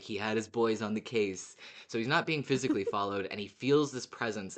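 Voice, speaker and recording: This is a podcast host in relaxed, casual fan discussion. The delivery is animated and unscripted, and swearing slips in freely. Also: mid-range voice.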